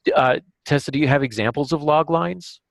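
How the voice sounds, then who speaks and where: A man hosting a podcast, giving casual writing advice in a halting way, as if thinking aloud. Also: fairly high pitch, gravelly, no room sound. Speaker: podcast host and novelist. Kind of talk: casual instructional discussion.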